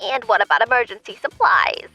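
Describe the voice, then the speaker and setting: A woman's voice: a little high, animated, fairly quick, muffled recording, loud music behind the voice, close to an everyday voice. A woman playing a bossy middle-aged mother-in-law in a manga dub, blunt and lecturing. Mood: probing, insistent.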